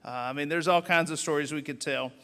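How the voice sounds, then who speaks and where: A man's voice: on the high side, fast, pushed and bright. A man emceeing an awards banquet, speaking informally with many hesitations and fillers. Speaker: organization official and emcee. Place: large banquet hall. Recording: reverberant banquet hall.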